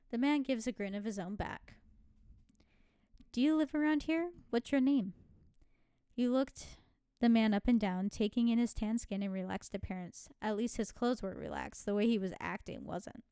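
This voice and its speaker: A woman streams a story game in a very dry, close recording. She reads narration at a middling pitch and slips into informal, giggly asides in her own voice. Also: normal speed, dark tone, clear, resonant tone, no echo. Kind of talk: let's-play, reading visual novel narration aloud with casual asides.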